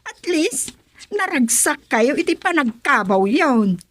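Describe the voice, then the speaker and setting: A woman's voice: high-pitched, big pitch swings, slow, a bit bright, exaggerated voice. A woman playing a cheerful, respectful village woman in an Ilocano radio comedy drama. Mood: cheerful, amused.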